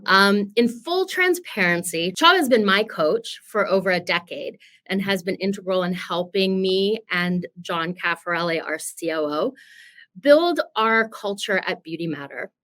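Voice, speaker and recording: A woman's voice: medium pitch, animated, unhurried, a bit bright. Woman hosting a webinar interview. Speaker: interview host, business founder.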